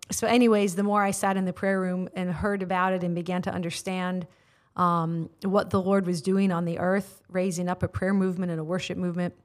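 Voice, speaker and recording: An American woman in her forties, a guest on a podcast, telling her faith story earnestly with many filler words. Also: lowish voice. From United States. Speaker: guest, prayer ministry leader. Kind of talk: personal testimony in interview. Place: studio.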